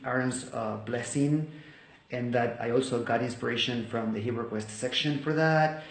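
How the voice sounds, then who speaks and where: A man whose first language is Spanish speaks casually to the camera about his study videos. His delivery is halting, full of fillers and restarts, at an ordinary mid pitch. The room around him is echoey. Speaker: Bible study teacher.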